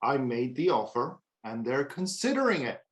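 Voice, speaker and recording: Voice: mid-range voice, very animated, moderate pace, lightly acted. An actor playing a nervous, flustered male underling, hesitant and placating, in a comedy table read on a video call. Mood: anxious, defensive. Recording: echoey room on video call.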